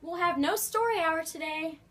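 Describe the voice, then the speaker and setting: A woman's voice: extremely high pitch, normal speed, fairly bright tone, pitched above the performer's own voice. A woman voicing a stern, witchlike substitute schoolteacher for a storybook, hissing and snapping out commands. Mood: stern, threatening.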